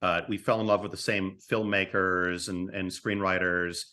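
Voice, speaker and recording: Bright-voiced man answering interview questions. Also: deep voice, level tone. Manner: halting, thinking aloud in short phrases. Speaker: podcast guest, screenwriter and co-creator of an audio drama. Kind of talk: casual interview storytelling.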